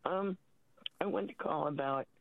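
Over a narrow, tinny phone line comes an American woman with a very low, husky voice, still getting over a cold. She is a radio caller who rambles casually, halting as she searches for words. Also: no echo. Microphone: telephone.

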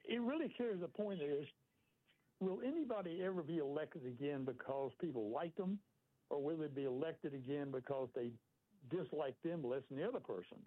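A man's voice: a little high, moderate pace, dry recording. An older man calling in to a radio talk show, stammering a little before he gets going. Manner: hesitant, stammering starts. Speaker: caller. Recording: tinny phone line.

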